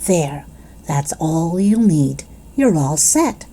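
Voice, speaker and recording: A woman voicing a firm, weary mother in a children's story, measured and chiding. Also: deep voice, expressive, warm tone, voice pushed down, heavily acted. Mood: matter-of-fact. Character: firm, weary mother of an energetic small girl.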